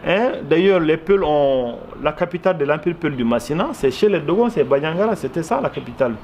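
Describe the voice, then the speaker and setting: A man with a Malian accent speaks in a slightly high voice. He answers interview questions in an earnest, explanatory way and leans on repetition to make his points. There is some ambient noise behind him. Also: expressive, fairly quick, a little mellow. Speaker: interviewee, commentator. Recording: clearly noisy background ambience.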